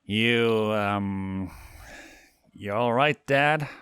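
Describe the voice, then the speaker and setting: A man's voice: lowish voice, very slow, fairly bright tone, smooth, no echo. A man reads as a young demon daughter in a story. She sounds awkward, casual and hesitant. The recording is dry and close. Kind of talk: fan fiction story reading.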